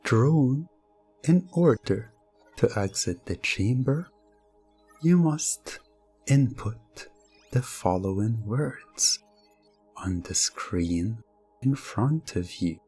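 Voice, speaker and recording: A man delivering scripted hypnosis ASMR narration in a deliberate, commanding manner. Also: mid-range voice, lively intonation, warm tone, husky, soft background music. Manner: slow, deliberate, commanding second-person delivery. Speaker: hypnosis ASMR role-play performer.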